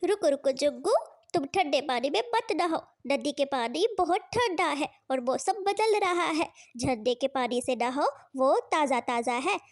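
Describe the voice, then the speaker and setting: A woman's voice: sits high, animated, unhurried. A woman voicing a caring, fretful, admonishing female bird in a cartoon. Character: caring, scolding female bird, mother and neighbour.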